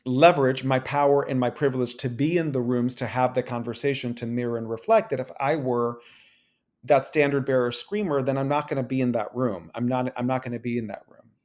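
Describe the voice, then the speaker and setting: A man who is a guest on an interview show, talking casually, animated, restarting and repeating phrases. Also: mid-range voice, a little fast. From Cuban-American. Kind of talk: casual conversation. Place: New York City.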